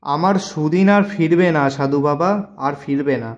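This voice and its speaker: A man voicing an honest, gentle, struggling village shopkeeper in a cartoon, earnest and pleading in delivery. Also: a little high, normal speed, natural voice. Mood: despairing. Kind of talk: cartoon voice acting.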